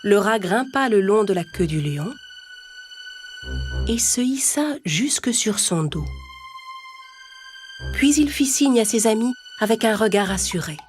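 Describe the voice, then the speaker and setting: A woman narrates an animated fable for children. Her pitch is a little low for a woman, and she tells it as a scripted story. Music plays clearly under the voice. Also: a little slow, pauses often.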